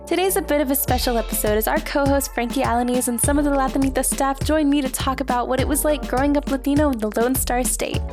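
A woman's voice: high voice, fast, hardly any pauses, over music. Upbeat young woman podcast host. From Texas. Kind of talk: casual podcast chat.

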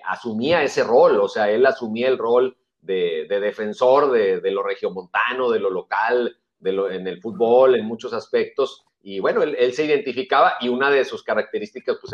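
A man, describing a friend's regional pride in a measured, hesitant way. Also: fairly low pitch. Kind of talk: reflective commentary in conversation. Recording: dull lo-fi audio.

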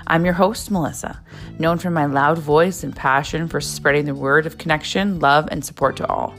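A woman with a very low voice reads a scripted podcast intro in a smooth, even announcer manner. Faint guitar music plays underneath her. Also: moderate pace. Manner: even, polished announcer reading. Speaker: podcast host.

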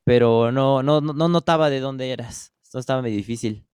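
A man with a Mexican accent hosting a casual podcast interview. His voice is smooth and clear, recorded dry with no room sound, and he talks in a loose, chatty way. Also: medium pitch, a little fast, darkish tone, no echo. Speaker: podcast host.

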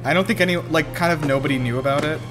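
A man hosting a podcast, giving casual, jokey, excitable commentary on a film. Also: on the high side, very fast, music in the background. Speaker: podcast host.

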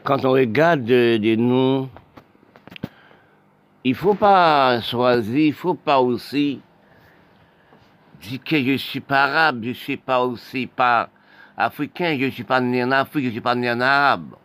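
Halting, impassioned man with restarts. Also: medium pitch, fairly bright tone. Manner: halting, repetitive, many restarts and broken phrases. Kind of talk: impassioned spoken monologue.

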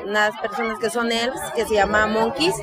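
A woman's voice: fairly high pitch, steady tone, normal speed. A woman, a fan being interviewed, chatting casually and telling what happened to her. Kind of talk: casual chat, animated anecdote telling. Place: outdoors in a concert queue. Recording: outdoor recording with busy crowd noise.

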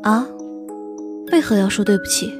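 A woman playing an ageless fox spirit in an audio drama, aloof and wistful, gently teasing with hidden fondness. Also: mid-range voice, expressive, moderate pace, music in the background, close to an everyday voice. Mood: calm, evasive.

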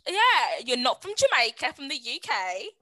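A woman, co-hosting a podcast in casual, slangy chat full of animated storytelling and quoted asides. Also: high-pitched, normal speed. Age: 20s. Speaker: podcast co-host.

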